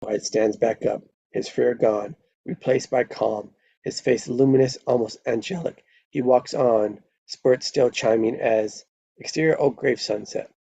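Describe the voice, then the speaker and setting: Dark, gravelly male screenplay narrator. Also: mid-range voice, fairly even intonation, a little slow, dry recording. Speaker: narrator reading stage directions. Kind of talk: scripted narration at a live table read. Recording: dull lo-fi close microphone.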